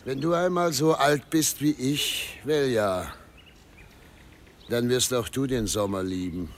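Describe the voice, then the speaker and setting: A man playing an authoritarian middle-aged governor and father in a radio drama, speaking in a measured, declamatory and patronizing way. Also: medium pitch, a little hiss or hum. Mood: wry, grave. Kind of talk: German radio drama acting.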